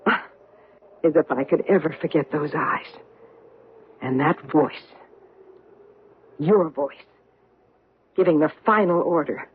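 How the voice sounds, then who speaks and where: An actress in a 1970s radio drama playing a woman survivor who calmly accuses a war criminal, in an intense, measured way. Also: low voice, breathy edge, heavily acted. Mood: accusing. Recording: narrow tinny 1970s radio broadcast.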